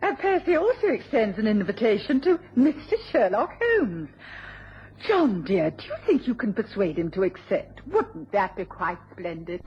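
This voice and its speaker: An actress playing a sweet, eager young British wife in an old radio drama, genteel and coaxing. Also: female voice, medium pitch, big pitch swings, moderate pace, a little hiss or hum, close to an everyday voice. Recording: muffled vintage radio recording.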